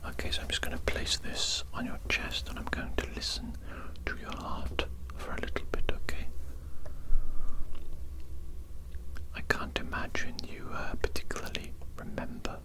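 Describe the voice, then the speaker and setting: A woman voicing a polite, callous evil doctor in an unhurried, breathy whisper for an ASMR roleplay, close to the microphone. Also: lightly acted. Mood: clinical, sinister. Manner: polite, unhurried, repeats phrases for menace.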